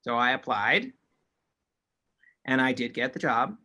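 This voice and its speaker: A male interpreter with a bright, forceful tone, voicing a panel's signed remarks in the first person. He relays steadily, often restarting a phrase mid-sentence, over an online call mic. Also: medium pitch, very animated, measured pace. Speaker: sign language interpreter.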